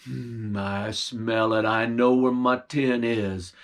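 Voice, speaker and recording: A man voicing a huge, hungry, menacing male bear, with slow growling threats in a folksy dialect. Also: medium pitch, steady tone, voice pushed down.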